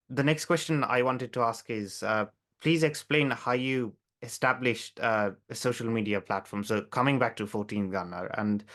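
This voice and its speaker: A man's voice: medium pitch, a bit bright. A man interviewing a guest, asking questions hesitantly with many fillers. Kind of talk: casual interview.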